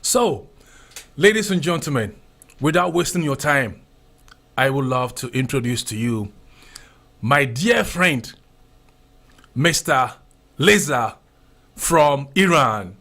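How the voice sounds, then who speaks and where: A man with a Ghanaian accent hosting a casual radio chat show, animated and prone to repeating himself. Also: mid-range voice. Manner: animated, repeats phrases, drawn-out spelling of contacts. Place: radio studio.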